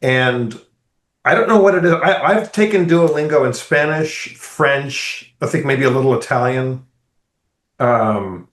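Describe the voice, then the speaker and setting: A man co-hosting a podcast, telling a rambling, animated travel story in casual, profane chat. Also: medium pitch, unhurried. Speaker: podcast co-host. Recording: roomy echo.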